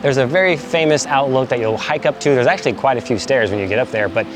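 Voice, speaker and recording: A man's voice: mid-range voice, fast talker, over music. A man narrating a travel vlog in an upbeat, joking, chatty way, outdoors on an island tour. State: congested from a head cold. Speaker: travel vlogger.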